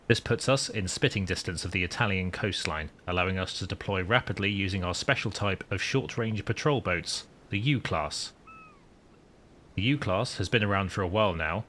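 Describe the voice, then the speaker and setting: A man's voice: deep voice, pushed and bright, slight background noise. A man voicing a wry, newly promoted wartime submarine commander, narrating his story in formal, dry understatement. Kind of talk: scripted first-person story narration.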